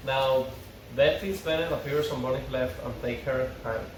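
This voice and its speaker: A man's voice: medium pitch, moderate pace. A man reading stage directions aloud as narrator at an informal script table read. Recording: echoey, distant mic, clear background noise.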